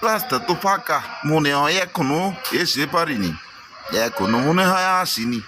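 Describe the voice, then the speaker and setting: A man's voice: on the high side, expressive, normal speed, close to an everyday voice. A man with a Bangladeshi accent voicing a playful, lazy, overconfident hare in a cartoon story, repeating colloquial phrases. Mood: drowsy, unworried. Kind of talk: amateur cartoon story voice acting. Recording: faint background noise.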